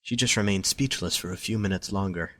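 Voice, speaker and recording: A man's voice: deep voice, fairly quick, bright, forceful tone. A man reading scripted story narration as the narrator of an amateur audio drama.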